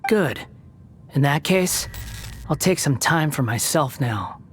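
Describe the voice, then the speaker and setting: An actor playing an aloof, proud young wandering god in a video game, speaking with a cool, dry, mocking delivery. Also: male voice, on the high side, lively intonation, takes it slowly, very noisy. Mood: weary. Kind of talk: video game cutscene dialogue.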